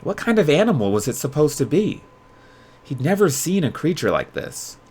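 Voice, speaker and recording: A man hosting a livestream readalong, moving between expressive storybook narration and casual chat with viewers. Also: a little high, moderate pace, husky. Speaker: streamer host reading aloud.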